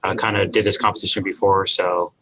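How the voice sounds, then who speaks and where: A man answers an interview question in a measured, confident way, with a few uhs. His voice is low but has a bright, crisp edge to it. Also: little pitch movement, low-quality recording. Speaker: student team member.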